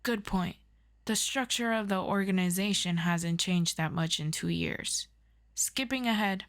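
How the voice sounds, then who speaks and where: Woman playing superhero mentor for audio roleplay: friendly, mid-pitched, orderly, instructive orientation delivery. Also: measured pace, slight background noise, close to an everyday voice. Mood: casual.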